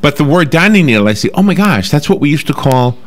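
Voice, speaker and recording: A man with a New York accent hosting a casual radio talk show from a studio desk microphone, chatty and quick to laugh. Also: on the high side, sing-song.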